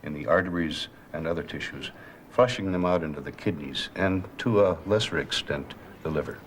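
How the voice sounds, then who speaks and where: A very low-voiced male doctor played by an actor in a scripted dramatization. He explains in a calm, measured, formal manner, with faint background noise behind him. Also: lightly acted. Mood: calm, instructive. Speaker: actor playing a physician.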